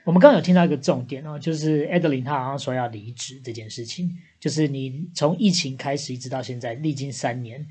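A man's voice: mid-range voice, fast talker, few pauses, darkish tone, husky. A young man with a Taiwanese Mandarin accent co-hosting a podcast in casual, teasing banter, dropping in English words. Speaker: podcast co-host.

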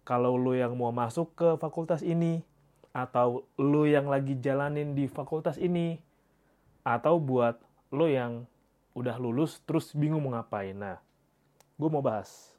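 A man's voice: medium pitch, darkish tone. A man from with a stuffy, congested nose, hosting a casual solo podcast and reading posts aloud in a loose, slangy way. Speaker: podcast host.

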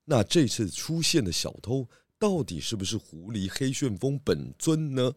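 A man playing a shrewd pig detective in a children's audio drama, speaking in a deliberate, theatrical sleuthing way. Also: medium pitch, very animated, noisy background, close to an everyday voice. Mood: inquisitive. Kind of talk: children's radio drama acting. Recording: music behind the voice.

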